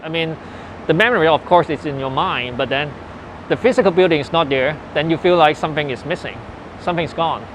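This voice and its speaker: A man in his forties speaks in earnest, unhurried English with a Hong Kong accent. His voice sits a little high for a man, and his grammar runs loose as he reminisces. Faint street noise hums in the background. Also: low-quality recording. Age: 40s. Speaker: interviewee. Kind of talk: personal reminiscence in interview. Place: old building where his family lived and worked.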